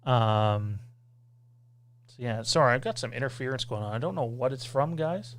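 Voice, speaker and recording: A man hosting a casual livestream Q&A, rambling loosely, reading out viewer comments and filling pauses with lots of "uh" and "um.". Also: mid-range voice. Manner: rambling, reads out viewer comments, many filler sounds. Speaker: podcast host.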